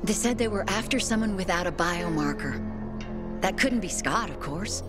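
Worried game mother played by a woman, medium pitch, earnest and protective, music under the voice. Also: lively intonation, moderate pace, lightly acted. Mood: uneasy, explaining. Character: anxious, protective mother pleading for her missing son. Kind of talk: video game drama acting.

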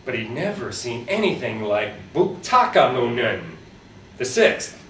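A man reads his short horror fiction aloud at a live event in a theatrical, deliberate storytelling manner with wry humour. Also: medium pitch, sing-song, fairly bright tone. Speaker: author reading his own fiction. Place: live reading at a convention, indoors. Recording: roomy, distant live recording with background noise.

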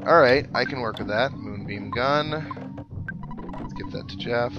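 A man hosts a let's play with casual, rambling commentary, thinking aloud as he goes. His mid-pitched voice moves up and down in an animated way. Video game music plays clearly behind him. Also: unhurried, dull audio.

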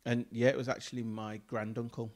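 A man in his thirties, a church leader, talking in a relaxed conversational way with frequent ums and easy laughs, on a handheld mic in a small room. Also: mid-range voice, a little fast. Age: 30s. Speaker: church leader, mission coordinator. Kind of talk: casual conversation.

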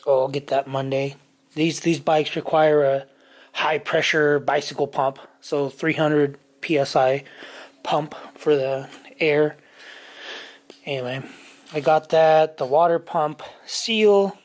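A man casually talking through motorcycle parts in his home garage, rambling with many pauses and fillers, recorded on a handheld camera. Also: on the high side, steady tone. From California. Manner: rambling, many ums and restarts. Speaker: motorcycle vlogger. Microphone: handheld camera mic, off-screen.

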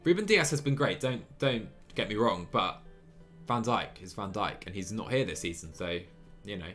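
A young man in his twenties streaming a cycling management game from a small room on a headset, chatty and excitable, laughing often while reading chat. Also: lowish voice, moderate pace, soft background music. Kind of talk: live gameplay commentary and chat reading.

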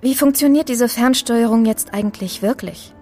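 An actress playing a feisty, sharp-tongued young woman in an audio drama, sassy and commanding. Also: a little high, moderate pace, soft background music, close to an everyday voice. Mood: mocking.

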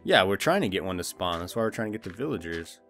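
A man hosting a casual let's play, excitable and jokey, yelling at game events. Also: sits low, fast talker. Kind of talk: casual gameplay chat. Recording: loud game music behind voice.

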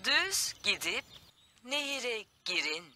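Cartoon sat-nav camper van, polite, slow, lilting, instructional. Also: female voice, fairly high pitch, big pitch swings, loud music behind the voice. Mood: polite, instructing. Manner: measured, instructional. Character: polite talking camper van navigator, female. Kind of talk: children's cartoon dubbing.

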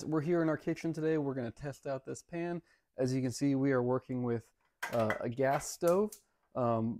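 A man's voice: mid-range voice, a little mellow. An American man in his thirties giving a casual, upbeat product review and demo to camera in an easygoing, folksy way. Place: home dining room and kitchen.

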